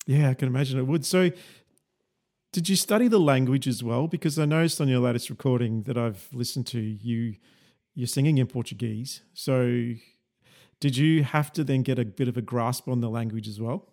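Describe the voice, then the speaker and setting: A man runs the interview, backing the guests with lots of "yeah" and asking long, meandering questions. His voice sits mid-range and sounds warm and mellow. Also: medium pitch, normal speed. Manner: encouraging, with frequent "yeah" and restarted questions. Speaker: interviewer, musician.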